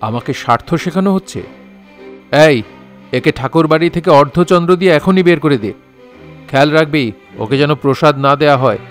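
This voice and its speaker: A man playing a revered storyteller-author, measured and pompous, narrating a literary audio drama in formal style. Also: a little high, faint music behind, natural voice. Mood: imperious. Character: lofty storyteller-author revered as master, imperious and stern.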